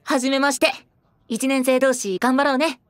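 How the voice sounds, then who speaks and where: A man's voice: very high voice, steady tone, moderate pace, fairly bright tone, clear, resonant tone, no echo. An actor playing a cheeky, cute teenage boy idol in an audio drama, boyish and playful. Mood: bright, friendly. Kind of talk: Japanese audio drama acting.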